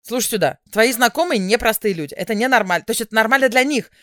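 A woman co-hosting a podcast, talking in a lively, chatty way and laughing easily. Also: on the high side, fast talker, a crowd in the background. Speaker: podcast co-host. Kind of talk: casual chat.

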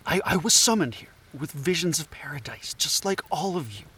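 Male actor: scatterbrained anthropologist pleading his case, persuasive but halting, fairly high voice, audio drama. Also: expressive, sharp tone, a little hiss or hum. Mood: nervous, persuasive.

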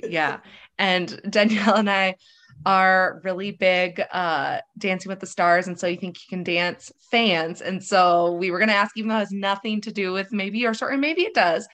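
Casting: woman podcast co-host, loose and rambling, quick to laugh, talking over a video call. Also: medium pitch, steady tone, a bit bright. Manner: chatty, rambling, laughs often. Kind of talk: casual conversational interview.

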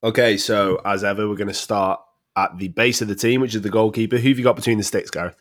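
Easygoing low-voiced man co-hosting. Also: steady tone, quick pace, no echo, clean studio sound. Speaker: podcast co-host. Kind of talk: casual chat.

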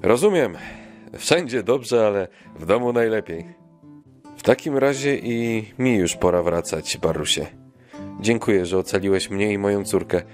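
A man acts as a brave and kindly king in a children's audio play. The voice is deep and fatherly, with light music underneath. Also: lively intonation, moderate pace, lightly acted. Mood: grateful. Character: brave, kind-hearted king and loving father.

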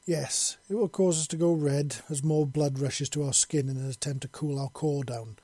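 A nervous human soldier in an audiobook tale, acted by a man. He is deferential and hesitant, answering obediently. His tone is soft-edged and slightly rough, in a very dry recording. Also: a little high, steady tone, normal speed, no echo. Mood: nervous, truthful.

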